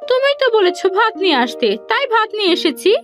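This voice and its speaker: A woman's voice: very high voice, big pitch swings, fast talker, a bit bright, soft background music, heavily acted. A woman playing a foolish, earnest young village wife in a cartoon, asking things in a naive, literal-minded way. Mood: eager, obliging. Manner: naive, wide-eyed questioning. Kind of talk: cartoon voice acting.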